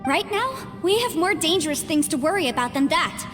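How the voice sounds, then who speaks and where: A clever young woman adventurer from a video game, with a high voice and crisp, earnest delivery. She explains things carefully and cares urgently about her companions. Her lines ring with long reverb over clear background music. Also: very animated, fairly quick. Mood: urgent, concerned. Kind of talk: English video game voice acting.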